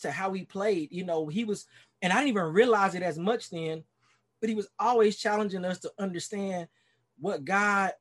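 High-voiced Texan man telling his story. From Dallas, Texas. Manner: earnest, rambling, many "you know"s and restarts. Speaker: pastor and podcast guest. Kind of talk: casual interview storytelling. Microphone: video call.

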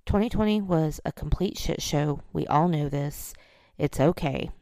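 Woman, podcast host, scratchy hoarse voice, gravelly, dry close sound, casual self-mocking chat. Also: sits low, a little slow, mellow, no room sound. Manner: chatty, self-deprecating, rambling.

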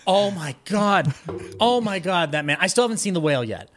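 A man's voice: high voice, expressive, fairly quick, fairly bright tone. Rambling, enthusiastic American man. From Colorado, United States. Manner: rambling, enthusiastic film-buff talk. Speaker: podcast guest. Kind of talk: casual interview chat about films and his life.

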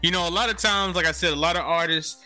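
A New York man hosts a casual podcast, hyping his guest in loose street slang. His voice is very bright and forceful, and faint music plays behind him. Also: a little high, quick pace. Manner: hype introductions, loose street talk. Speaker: podcast host. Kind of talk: casual interview.

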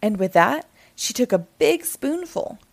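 A woman reading a children's picture book aloud in an animated storytelling style. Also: a little high, unhurried, gravelly.

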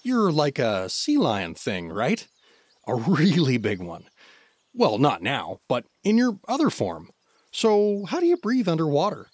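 An actor playing a bumbling, awkward, easily exasperated father-figure adventurer in a comic fantasy audio drama, with a husky voice. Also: a little high, huge pitch swings, unhurried, a little mellow, no echo, exaggerated voice. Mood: awkwardly curious. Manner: bumbling, rambling, chummy.

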